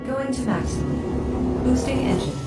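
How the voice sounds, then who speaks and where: A woman voicing a calm female starship computer that gives clipped status reports. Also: high voice, unhurried. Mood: efficient. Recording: roomy, distant, music behind.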